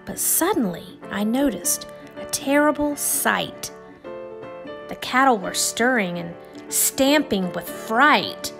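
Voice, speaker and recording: Woman doing kids book read-aloud, really slow and expressive, music playing behind her. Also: a little high, gravelly. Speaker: storybook reader.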